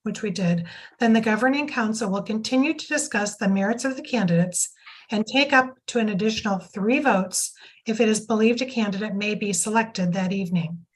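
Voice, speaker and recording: Hoarse woman reading rules aloud. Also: medium pitch, brisk, low-quality recording. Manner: reading procedural text aloud, apologetic. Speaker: council member reading the rules. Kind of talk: reading a bylaw at a public meeting.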